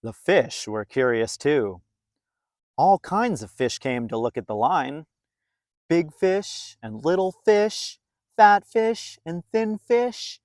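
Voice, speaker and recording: A man narrating a children's storybook in an expressive, deliberate way, drawing out phrases for young listeners. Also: a little high, gravelly, no room sound, studio quality. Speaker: storybook reader.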